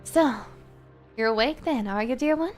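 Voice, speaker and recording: A woman doing a breezy, chillingly calm gravedigger. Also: high voice, normal speed, faint music behind, pitched above the performer's own voice, lightly acted. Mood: breezy unsettling. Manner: breezy, sing-song, falsely friendly.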